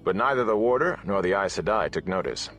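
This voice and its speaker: Low voiced guy reading a fantasy audiobook, measured dramatic storyteller delivery, bit of music under it. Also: lively intonation, a bit bright. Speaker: audiobook narrator. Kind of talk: scripted fantasy novel narration.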